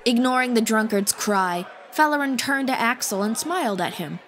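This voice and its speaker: A woman's voice: on the high side, expressive, moderate pace, fairly bright tone. A woman reading scripted fantasy audiobook narration as the storyteller. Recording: music bed behind voice.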